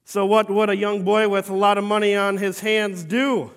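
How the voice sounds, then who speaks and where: Man performing as an aged saintly bishop, humble, stern, slow solemn delivery, high voice, long hall reverb. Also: steady tone, clear, resonant tone. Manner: slow, solemn storytelling with formal phrasing. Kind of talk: first-person dramatic monologue.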